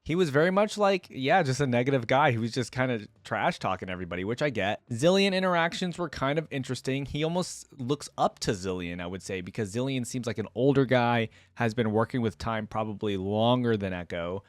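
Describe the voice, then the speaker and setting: A man's voice: mid-range voice, animated, brisk, few pauses, no room sound. A man giving casual reaction commentary, informal and musing as he thinks aloud. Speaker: reaction video host.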